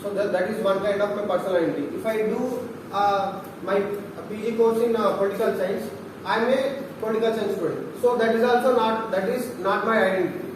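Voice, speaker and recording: Young indian guy, telugu accent, high voice, halting seminar talk, lots of uhs, noisy background. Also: fairly even intonation. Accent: Indian English, Telugu speaker. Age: young adult. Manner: halting, many restarts and fillers. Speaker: philosophy student presenting at a seminar. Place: seminar room. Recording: echoey room, mic far off, noisy.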